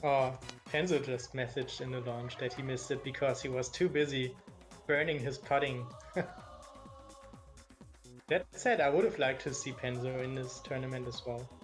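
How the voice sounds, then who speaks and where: A man with a German accent doing live play-by-play commentary on a match, quick and engaged as he reacts to the action. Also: mid-range voice, fairly even intonation. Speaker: esports commentator. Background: game music.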